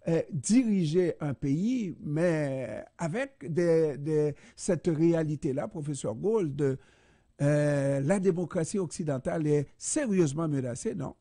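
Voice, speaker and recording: A man from Haiti hosts a radio interview, weighing each word slowly with many pauses. His voice is dark and mellow. The sound is dry and close, with little room echo. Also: fairly high pitch. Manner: slow, deliberate, many hesitations. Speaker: radio host.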